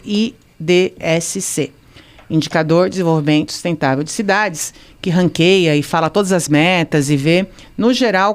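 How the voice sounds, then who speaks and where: Smooth-voiced woman interviewer. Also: lowish voice, a little mellow. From Santos, Brazil. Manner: discursive, peppers speech with tag questions. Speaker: podcast host. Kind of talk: conversational interview questions.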